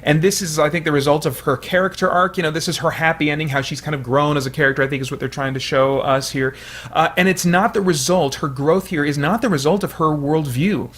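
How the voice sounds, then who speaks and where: A man's voice: a little high, fast, a bit bright. Man giving informal analytical commentary. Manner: explanatory, hedging with frequent "you know". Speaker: video essay host.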